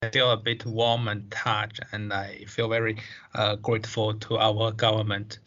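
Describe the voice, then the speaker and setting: A man's voice: fairly low pitch, flat delivery, moderate pace, a bit bright. A man in his twenties answering interview questions, hesitant and full of fillers. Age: 20s. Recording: dull lo-fi sound.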